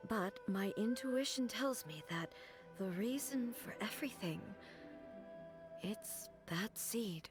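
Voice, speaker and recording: A woman voicing a grave, composed female ruler-goddess in a game, speaking calmly and deliberately. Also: medium pitch. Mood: solemn. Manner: calm, deliberate and earnest. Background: game soundtrack music.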